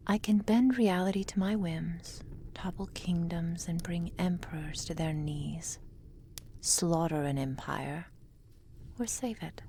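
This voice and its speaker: Woman acting a slow, whispery, possessive witch. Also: fairly low pitch, slight background noise. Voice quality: soft, breathy, frequently whispered. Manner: slow, formal, deliberate storyteller phrasing. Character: possessive, lovelorn immortal witch, proud and world-weary. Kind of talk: intimate ASMR roleplay monologue.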